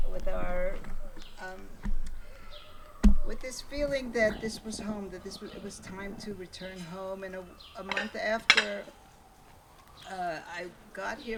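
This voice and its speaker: A woman from New York with a New York accent, telling the story of her life at a book launch with wry, chatty jokes. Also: mid-range voice. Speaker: author. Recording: distant mic, noisy room.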